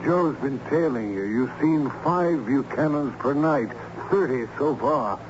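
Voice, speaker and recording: A man plays a hearty crooked lawyer in an old radio drama. He interrogates in a formal, brisk, politely threatening way at a middling pitch. The sound is dull and noisy. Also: natural voice. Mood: pressing.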